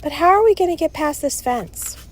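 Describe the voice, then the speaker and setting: A woman's voice: sits high, lively intonation, brisk, pitched above the performer's own voice. A woman voicing a grumpy, challenging schoolboy in a storybook, blunt and griping. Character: grumpy, challenging schoolboy who gripes and blurts.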